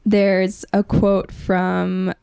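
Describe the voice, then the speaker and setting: A woman speaks with noticeable vocal fry and a soft, mellow tone at a middling pitch. She is a podcast panelist laying out ideas in a measured, reflective, academic manner, recorded dry with no room sound. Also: monotone, dry recording. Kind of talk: thoughtful academic discussion.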